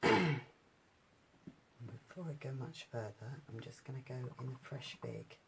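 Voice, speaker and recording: A woman from the UK demonstrates card stamping, talking softly to herself as she goes. Her voice has a slightly gravelly edge. A steady background noise sits under the recording. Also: a bass voice, unhurried. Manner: quiet, murmured asides while working. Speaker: craft demonstrator. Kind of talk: casual crafting tutorial.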